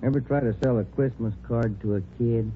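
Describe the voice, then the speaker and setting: An actor playing an easygoing police detective in a 1940s radio drama, casual, wry and laconic. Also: male voice, mid-range voice, steady tone, a little fast, close to an everyday voice. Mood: relaxed, wry. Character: easygoing married police detective, the sergeant's partner. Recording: tinny, hissy old radio recording.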